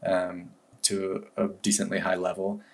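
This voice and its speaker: Man, deep voice, monotone, casual vlog review, relaxed, loose and rambling. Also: unhurried, gravelly. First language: English. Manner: relaxed, rambling with fillers and restarts. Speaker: language learning vlogger. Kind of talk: casual review talk to camera.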